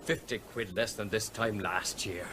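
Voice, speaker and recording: A man's voice: lowish voice, fairly even intonation, measured pace, background noise, lightly acted. An actor playing a blunt, sceptical male seafront trader in a radio drama. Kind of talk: 1970s radio drama acting.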